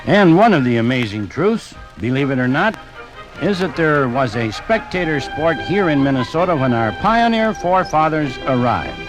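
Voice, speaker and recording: A man's voice: on the high side, sing-song, moderate pace, rough recording. An American man in scripted 1950s radio dialogue and narration, speaking like an emphatic storyteller. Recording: 1958 radio program from LP record. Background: music.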